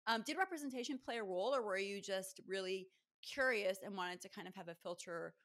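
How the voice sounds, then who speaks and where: Brief: woman podcast host, fast conversational questions, easygoing. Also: mid-range voice. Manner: quick, lively questions with laughs. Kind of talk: casual interview conversation.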